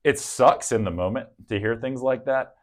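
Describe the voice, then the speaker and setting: A man's voice: medium pitch, moderate pace. A man from the American South, a real estate agent as guest, talking earnestly and reflectively with frequent ums and restarts. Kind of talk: casual interview conversation.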